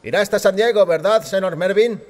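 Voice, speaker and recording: A man's voice: high voice, fairly quick, a little mellow, voice pushed up, natural voice. A man voicing a burly Chicano car mechanic in an audiobook, respectful, obliging and businesslike. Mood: obliging, eager. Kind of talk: audiobook character voice.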